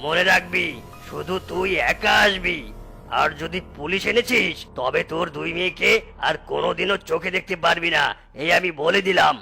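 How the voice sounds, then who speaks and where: A man voicing a menacing male kidnapper villain in a cartoon, gravelly and bullying. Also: high voice, moderate pace, pushed and bright, soft background music, full character voice. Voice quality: gravelly, harsh. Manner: threatening, bullying. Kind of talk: cartoon voice acting.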